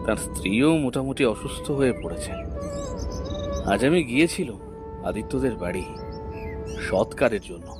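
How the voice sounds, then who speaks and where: An actor playing a grave, sympathetic villager in a horror cartoon. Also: male voice, medium pitch, big pitch swings, moderate pace, long pauses, over music, natural voice. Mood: somber. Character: somber, sympathetic villager at a tea stall. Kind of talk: Bangla horror cartoon dialogue.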